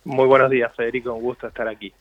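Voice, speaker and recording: An Argentine man with an Argentine accent, giving measured, explanatory answers as a guest in a radio interview. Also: medium pitch, little pitch movement, no room sound. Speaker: guest, company CEO. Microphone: phone. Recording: tinny phone line.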